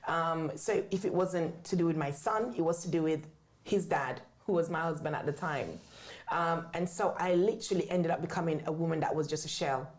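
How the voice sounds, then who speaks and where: A woman's voice: low-pitched, moderate pace. A woman from the UK, raised in Jamaica, speaking candidly as an interview guest in a conversational storytelling style. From born in the UK, raised in Jamaica. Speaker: interview guest, author and book writing mentor.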